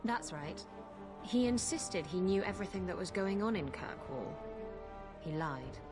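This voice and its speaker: Wry defiant lady pirate captain for a game, lowish voice, music loud behind her. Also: animated, a little slow. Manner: flippant, wry, salty. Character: brash, unrepentant adult female pirate captain, wry and defiant. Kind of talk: video game character dialogue.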